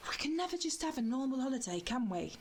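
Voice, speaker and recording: Woman playing a fast, feisty British companion. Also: high-pitched, big pitch swings, a little hiss or hum. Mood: exasperated, sarcastic. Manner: brash, sarcastic. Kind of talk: sci-fi audio drama acting.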